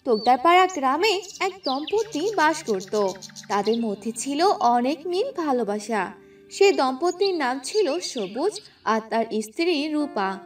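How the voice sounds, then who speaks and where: A woman's voice: high voice, very animated, measured pace. A woman narrating a scripted cartoon story. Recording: music behind the voice.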